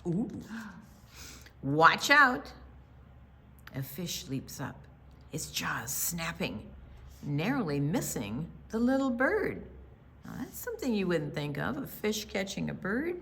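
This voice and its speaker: Slow, expressive American woman reading a storybook. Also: lowish voice, gravelly. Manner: dramatic pauses, hushed asides, emphatic delivery for young children. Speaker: children's storytime reader at a library. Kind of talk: read-aloud picture book storytelling.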